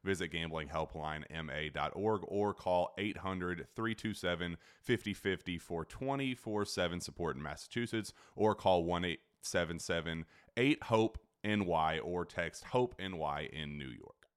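Male disclaimer announcer, low register, clean resonant tone, scripted read. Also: unhurried, clean tone.

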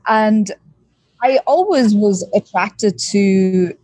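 An Irish woman surgeon talks through her answers in a relaxed, practical way, often pausing with "you know." Her voice sits at a middle pitch. The recording is dry, with no room sound. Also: no room sound. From Ireland. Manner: pragmatic, relaxed, talks with frequent fillers. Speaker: surgeon guest. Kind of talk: podcast interview.

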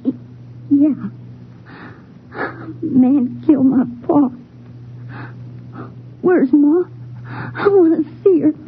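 High-pitched frightened young boy. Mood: weak, dazed, then tearful, pleading. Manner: halting, childlike. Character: frightened, grieving young boy, weak in hospital. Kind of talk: 1950s radio drama acting. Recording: muffled, noisy 1950s radio recording.

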